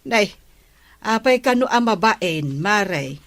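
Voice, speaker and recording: A woman's voice: medium pitch, animated, slow, no room sound. A woman with an Ilocano accent playing a nagging, plain-spoken village wife in a radio comedy drama. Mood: curious, eager.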